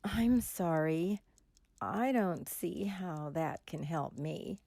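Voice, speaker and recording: A woman's voice: lowish voice, expressive, slow pace, creaky, mellow, dry recording, studio quality, full character voice. A woman playing a frightened lonely young woman. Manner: anxious, pleading. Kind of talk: radio drama acting.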